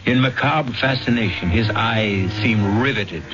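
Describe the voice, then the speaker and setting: A man hosting and narrating a radio mystery in a measured, ominous, theatrical way. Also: lowish voice. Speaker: host and narrator. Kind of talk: dramatic scripted radio narration. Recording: muffled old radio recording with music.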